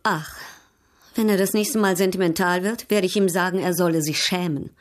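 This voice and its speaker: A woman acts out a haughty European baroness with a fairly low voice. She speaks formally and with poise, coolly ironic and a little flirtatious, in the manner of a radio play. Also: normal speed, lightly acted. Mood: haughty disdain. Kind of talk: German radio drama acting.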